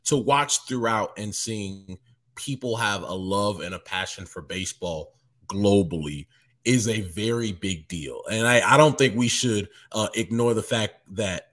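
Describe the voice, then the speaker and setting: A man's voice: lowish voice, measured pace, fairly bright tone. A man being interviewed as a baseball writer, talking casually and animatedly with lots of restarts.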